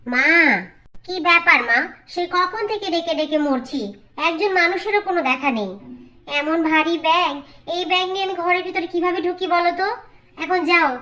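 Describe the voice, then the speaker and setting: A woman's voice: very high voice, fairly quick, bright, forceful tone. A woman voicing a spoiled, scheming young sister-in-law in a cartoon, demanding and whiny. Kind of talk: Bengali cartoon acting.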